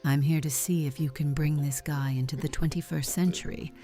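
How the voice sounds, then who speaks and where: An actress playing a sharp-tongued, teasing, possessive middle-aged aunt in a visual novel game, with a husky, breathy voice. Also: female voice, low voice, fairly even intonation, a little fast, faint music behind, natural voice. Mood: dry.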